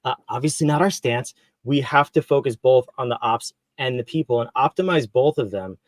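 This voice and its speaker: A man of middle pitch hosts a business interview and asks his questions in an easy, curious tone. His voice is recorded dry and close, with no room echo. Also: moderate pace. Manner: relaxed, curious questioning with frequent restarts. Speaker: podcast host. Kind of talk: conversational interview.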